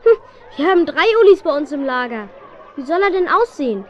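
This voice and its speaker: A woman's voice: high-pitched, expressive, fairly quick. A woman playing a helpful youngster at a summer camp in a children's radio play. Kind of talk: 1970s German children's radio play acting. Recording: dull, muffled old audio drama recording.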